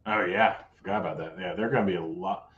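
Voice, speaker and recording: A man's voice: low voice, quick pace, a bit bright, dull audio. A man hosting a casual sports betting show, talking through his picks in a laid-back way, his voice a bit worn. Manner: laid-back, rattles off odds. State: losing his voice.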